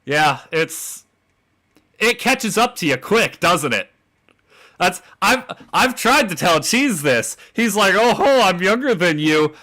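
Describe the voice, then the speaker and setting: A young man in his twenties with a fairly deep voice, chatting casually as a podcast host. His pitch swings widely as he teases the others, and his tone is bright and forceful. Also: big pitch swings, takes it slowly. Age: 20s. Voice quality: relatively deep voice. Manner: teasing, laughs often. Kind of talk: casual chat.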